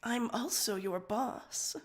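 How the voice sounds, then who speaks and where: A woman's voice: fairly high pitch, takes it slowly. A woman voicing a dry, commanding female head archivist who speaks in a curt, knowing way for a story reading.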